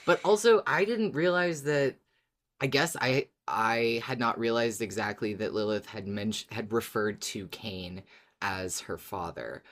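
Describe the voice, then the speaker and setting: A man's voice: medium pitch, a little slow, fairly bright tone. A man co-hosting a casual fan podcast, chatting in an enthusiastic, agreeable way. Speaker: podcast co-host.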